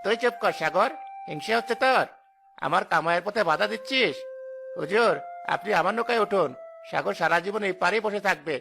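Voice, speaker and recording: Brash money-hungry boatman for cartoon, played by a man: bright, forceful, high, scornful. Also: moderate pace, gravelly, faint music behind, full character voice. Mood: irritated. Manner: boastful, scornful. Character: brash, greedy boatman who scoffs at superstition. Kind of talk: cartoon horror story acting.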